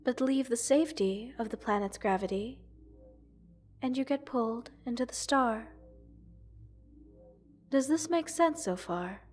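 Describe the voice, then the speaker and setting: A woman voicing a gentle, curious alien scientist in an ASMR roleplay, speaking formally, precisely and reassuringly to the listener. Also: on the high side, animated, unhurried, pauses often, faint music behind. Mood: patient explaining. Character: gentle, curious multi-world alien scientist studying a captive human.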